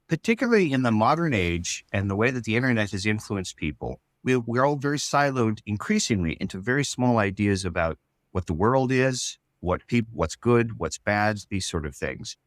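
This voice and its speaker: A man's voice: fairly low pitch, animated, moderate pace, no echo, studio quality. A man giving a casual interview as a writer, reflective and hesitant, often restarting his phrases. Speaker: writer-creator interviewed about his show.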